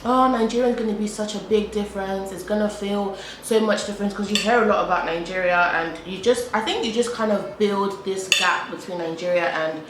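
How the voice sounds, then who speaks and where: A woman's voice: mid-range voice, a little fast, few pauses, a bit bright. Young British woman, casual vlogger, roomy echo, faint hum behind. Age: young adult. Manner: chatty, repeats herself, frequent "like". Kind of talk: casual chat to camera while eating. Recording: echoey room with faint background hum.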